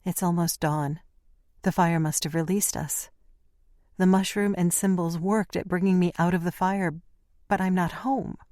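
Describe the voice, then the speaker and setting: A man's voice: sits high, steady tone, unhurried, a little mellow, dry recording, clean studio sound, pitched above the performer's own voice. A man voicing a frightened, confused young woman in an audiobook, speaking anxiously in a raised voice. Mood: fearful. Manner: anxious, questioning.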